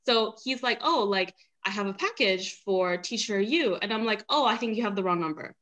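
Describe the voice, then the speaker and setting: A young woman who grew up in China and has Mandarin as her first language. She tells stories in a chatty, animated way, often breaking into laughter, and her voice is heard through a laptop mic on a video call. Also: mid-range voice, normal speed, dull audio. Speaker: panelist. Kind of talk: casual storytelling on a panel.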